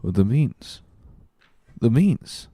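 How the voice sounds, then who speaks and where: A man's voice: mid-range voice, sing-song, slow, warm tone, breathy edge, no echo, pitched above the performer's own voice, heavily acted. A man voicing a jealous, brooding young Catalan lover, urgent and intense, in a dramatic reading of a novel. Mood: impatient.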